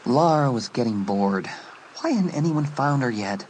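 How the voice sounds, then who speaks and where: A man's voice: mid-range voice, expressive, measured pace, a little hiss or hum. A man narrating a horror audiobook in a suspenseful, dramatic storytelling manner. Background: loud music.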